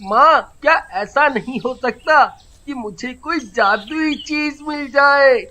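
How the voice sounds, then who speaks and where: A woman voicing an innocent, earnest young schoolboy in a children's story, childlike and deliberate. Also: sits high, sharp tone, slight background noise, full character voice. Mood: curious, pleading.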